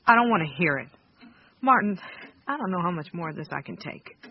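A woman's voice: lowish voice, animated, brisk, lo-fi sound. An actress playing an anguished, bitter wife and grieving mother in an emotional radio drama. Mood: bitter, weary. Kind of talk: naturalistic radio drama acting.

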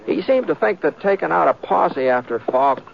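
An actor playing an easygoing, modest western drifter with a hesitant, folksy drawl, in 1950s radio western style. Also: male voice, a little high, animated, moderate pace, vocal fry. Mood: mild, hesitant. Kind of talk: 1950s radio western acting and first-person narration. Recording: muffled, noisy, crackly old radio recording.

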